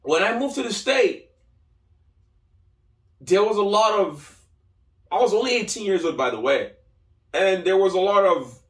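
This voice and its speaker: A man's voice: high voice, a bit bright. A man in his thirties with a Nigerian accent hosting a solo opinion podcast, emphatic and repeating key phrases. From Lagos, Nigeria. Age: 30s. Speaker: podcast host. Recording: echoey room, distant from mic.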